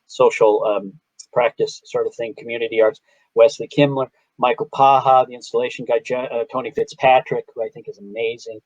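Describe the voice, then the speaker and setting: A man's voice: medium pitch, level tone, fairly quick, darkish tone, no room sound, highs cut off. Rambling Chicago-accented man on a video call. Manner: rambling, self-interrupting storyteller. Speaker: guest artist. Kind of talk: casual video-call interview.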